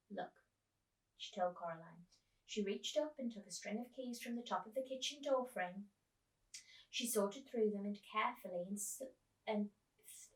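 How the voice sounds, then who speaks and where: Woman reading a storybook, distant and echoey. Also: medium pitch. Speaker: teacher reading a storybook aloud. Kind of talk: expressive storybook narration for children. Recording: roomy echo, distant microphone.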